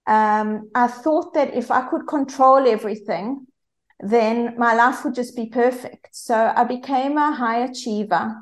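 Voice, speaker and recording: A woman's voice: on the high side, a little slow. South African woman telling her story candidly. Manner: candid, reflective, frequent fillers. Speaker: guest mindfulness coach. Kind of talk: personal storytelling in interview.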